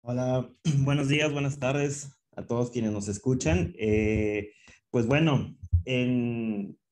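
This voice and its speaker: A man's voice: mid-range voice, slow, darkish tone. A man of twenty-seven, speaking thoughtfully as a webinar panelist on a video call, often restarting words. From northern Mexico. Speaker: panelist, founder of a youth organization. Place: indoor office.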